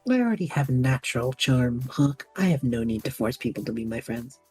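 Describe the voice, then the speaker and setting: Woman doing theatrical young student guy, mellow husky voice, swoopy delivery, easily wounded, audio drama. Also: rumbling low, expressive, fairly quick, soft background music, full character voice. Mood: boastful. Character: dramatic, cocky young male magic student with movie-plot daydreams. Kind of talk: audio drama character banter.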